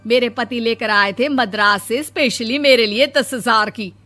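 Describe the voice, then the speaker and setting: A woman's voice: fairly high pitch, moderate pace, sharp tone, soft background music. A woman doing a haughty scolding mother-in-law. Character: proud, sharp-tongued middle-aged mother-in-law.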